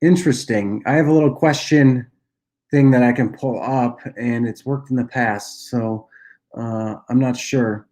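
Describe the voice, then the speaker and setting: A young man with a nasal voice from a cold, casually answering viewer questions on a livestream and often clearing his throat. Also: medium pitch, unhurried. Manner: relaxed, loose delivery with frequent uhs and throat clearing. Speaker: app cofounder and dietitian hosting a Q&A livestream.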